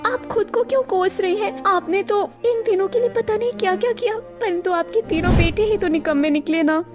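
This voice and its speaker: A woman's voice: very high voice, moderate pace, over music. A woman voicing a sympathetic young daughter-in-law consoling an elder in a moral story cartoon. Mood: consoling, indignant.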